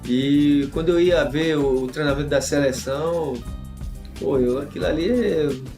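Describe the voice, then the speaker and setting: A man's voice: a little high, moderate pace, a little mellow. A man from Salvador, earnestly recalling a childhood dream in a documentary interview. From Salvador, Bahia, Brazil. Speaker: interviewee, former national team player. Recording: distant mic, music underneath.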